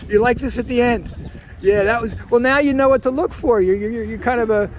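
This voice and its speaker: A man's voice: very high voice, a little fast, slight background noise. A man leading a walking tour asks the group chatty, encouraging questions on a city street, recorded on a handheld camera. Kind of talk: casual on-camera interviews.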